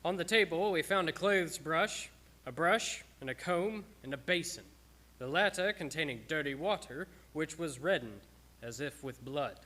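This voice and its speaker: A man playing a grave, observant gentleman, narrating a vampire hunt deliberately and precisely in a radio drama. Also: a little high, animated. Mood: grave suspense.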